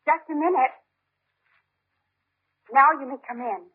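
An actress playing a paranoid, imperious aristocratic wife, clipped and evasive, in old-time radio drama style. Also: female voice, high-pitched, measured pace, phone-quality sound. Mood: coolly composed. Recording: noisy, thin old radio recording.

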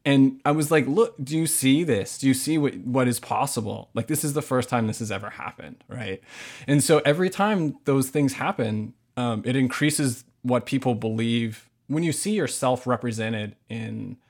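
A man's voice: medium pitch, moderate pace, a little mellow. An American man from Hawaii giving earnest, reflective interview answers in conversation, searching for his words as he goes. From Hawaii, United States. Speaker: podcast guest, novelist.

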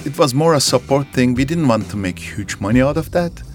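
A man being interviewed as a company executive, answering earnestly in halting phrasing with frequent fillers. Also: medium pitch, animated, soft background music.

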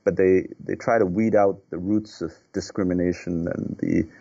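A man from Nepal, a healthcare executive, answering podcast questions earnestly, often repeating words and pausing with fillers. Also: low voice, fairly even intonation, creaky, warm tone, muffled recording.

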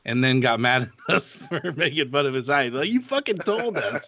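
A man's voice: medium pitch, expressive, moderate pace, sharp tone. A man co-hosting a podcast, teasing, swearing and laughing in casual banter. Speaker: podcast co-host. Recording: dull lo-fi audio, faint noise.

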